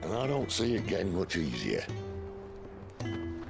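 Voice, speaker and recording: An actor playing a roguish, world-weary older outlaw leader in a video game, gravelly and wry, with a Northern English accent. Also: male voice, low-pitched, wildly swooping pitch, measured pace, music in the background, heavily acted. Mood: solemn, sincere. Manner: colloquial, wry. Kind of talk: dramatic video game voice acting.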